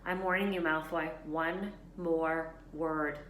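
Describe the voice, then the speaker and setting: A woman's voice: low-pitched, steady tone, takes it slowly, a bit bright. Woman doing a blunt, hot-tempered schoolboy. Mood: threatening. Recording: roomy echo, faint background hiss.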